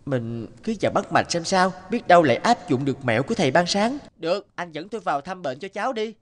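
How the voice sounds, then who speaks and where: A man's voice: sits high, lively intonation, fast talker. A man voicing a foolish, cocky young healer's apprentice in a cartoon folk tale, bumptious and emphatic, with music behind. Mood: accusing. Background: background music.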